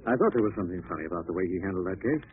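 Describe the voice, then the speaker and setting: An actor playing a composed, polite gentleman detective with a matter-of-fact manner, in 1940s radio drama style. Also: low-pitched, lively intonation, very fast. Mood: thoughtful, assured. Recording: tinny, noisy old radio recording.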